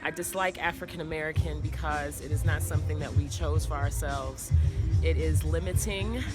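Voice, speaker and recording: A man's voice: a little high, moderate pace, loud music behind the voice. A man in his late thirties giving his opinion as an interview respondent. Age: late 30s.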